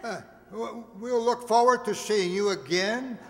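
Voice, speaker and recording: Slow, high-voiced older man announcing in a hall. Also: a bit bright. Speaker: announcer of a radio players group. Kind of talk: reading out cast credits on stage. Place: stage of a community hall. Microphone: stand microphone on stage, recorded by audience camera. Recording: echoey hall, audience noise, hiss.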